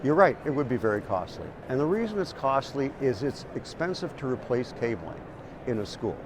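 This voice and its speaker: A man's voice: medium pitch, big pitch swings, fairly quick, over loud music. A man giving explanatory interview answers as an industry expert, often repeating words as he goes.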